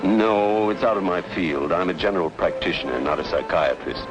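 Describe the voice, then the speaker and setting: A man as a deep-voiced, urbane doctor. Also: dull audio, natural voice. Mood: calm, probing. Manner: measured, probing, conversational. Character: urbane, inquisitive male doctor, calm and wry. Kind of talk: old film noir drama acting.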